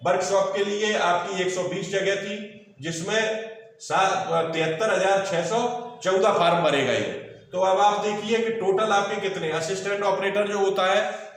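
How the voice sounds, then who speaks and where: A man's voice: high-pitched, steady tone, unhurried. A man teaching an online exam coaching lesson point by point, instructive and reassuring, mixing in English terms. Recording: very echoey room, distant mic.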